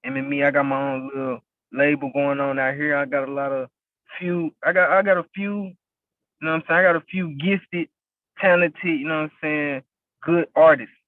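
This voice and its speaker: Young man from West Memphis, laid-back rapper, slightly high voice, tinny phone-like sound, bone-dry. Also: steady tone, moderate pace, no room sound. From West Memphis, Arkansas. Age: young adult. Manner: laid-back, constant "you know what I'm saying". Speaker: rapper and label owner, interview guest. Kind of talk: casual interview talk.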